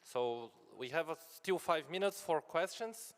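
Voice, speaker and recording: A man's voice: a little high, animated, unhurried. A man moderating a conference Q&A in a casual manner, reading audience questions aloud. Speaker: session moderator. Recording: reverberant conference hall.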